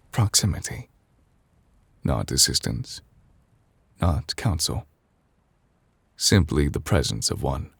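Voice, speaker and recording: A man voicing a solemn, gentle, ancient immortal chief justice in an ASMR roleplay, speaking formally and haltingly in a confiding, gravelly, breathy voice. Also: extremely low pitch, no echo, exaggerated voice.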